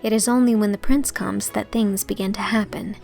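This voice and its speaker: A woman's voice: medium pitch, fairly even intonation, fairly quick, gravelly, darkish tone, faint music behind, close to an everyday voice. Woman playing a romantic, earnest young heroine. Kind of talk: audiobook character reading.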